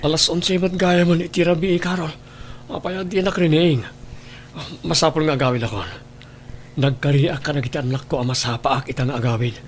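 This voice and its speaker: A man's voice: fairly high pitch, fairly even intonation, fairly quick, a little hiss or hum, close to an everyday voice. A man with an Ilocano Filipino accent playing an evasive, defensive husband and father in an audio drama. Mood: tender, apologetic. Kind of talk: Ilocano radio-style drama acting.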